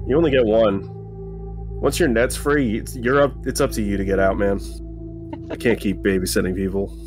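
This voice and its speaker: A man's voice: fairly low pitch, steady tone, moderate pace. A man chatting casually as a player in a tabletop game, joking and swearing. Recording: background music under the voice.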